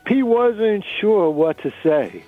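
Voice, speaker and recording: A man's voice: sits high, sing-song, takes it slowly, narrow, tinny audio, soft background music. A man narrating a dramatic reading of fiction. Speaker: narrator reading a novel chapter.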